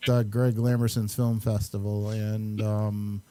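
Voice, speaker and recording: A man's voice: on the low side, little pitch movement, measured pace, warm tone. A man hosting a casual chat and reviewing movies in a rambling, hesitant way. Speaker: host and amateur filmmaker.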